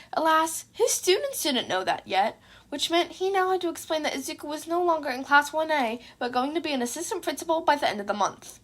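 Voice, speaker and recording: A woman with a high-pitched voice narrates a story. She reads from a script as an amateur audiobook narrator. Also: a bit bright.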